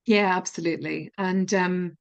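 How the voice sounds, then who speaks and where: A woman's voice: on the low side, steady tone, normal speed, dull audio. A Scottish woman answering interview questions as a pronunciation specialist, candid and earnest, laughing at herself now and then, on an online call. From Scotland.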